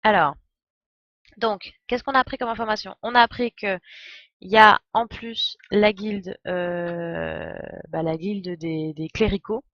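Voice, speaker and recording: A woman's voice: medium pitch, steady tone, unhurried, a bit bright, no echo, dull audio. A woman giving casual, chatty, jokey live commentary as a game streamer.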